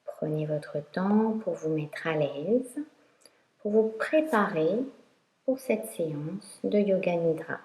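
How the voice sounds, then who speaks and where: A woman teaching yoga nidra, guiding a meditation in a calm, instructional way with long pauses. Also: lowish voice. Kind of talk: guided meditation narration. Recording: roomy echo.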